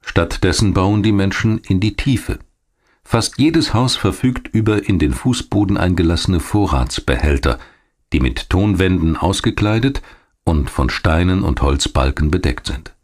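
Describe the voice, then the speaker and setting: A man reading scripted documentary narration in a measured, clearly articulated storytelling manner. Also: deep voice, lively intonation. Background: music behind the voice.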